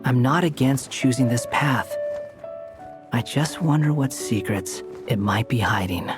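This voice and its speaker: A man's voice: medium pitch, a little slow, music in the background, lightly acted. A man in a video game voicing an aloof, sardonic young man with a cool, pointed way of talking. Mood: dry, wary.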